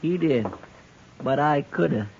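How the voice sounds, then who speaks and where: An actor playing a folksy, deferential frontier deputy with country speech and a husky voice in a 1950s radio western. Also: male voice, a little high, lively intonation, a little slow, a little mellow, a little hiss or hum. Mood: chatty, teasing. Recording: muffled old radio recording.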